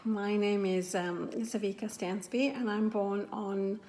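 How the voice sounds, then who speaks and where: A woman in her forties with a South African English accent speaks in a middle pitch, pausing often and restarting phrases as she thinks. Her voice rings with a long reverb, as if recorded in a large hall. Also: steady tone, a little mellow, faint music behind. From South Africa, KwaZulu-Natal. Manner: thoughtful, with frequent ums and restarts. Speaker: interviewee. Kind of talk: personal account to camera.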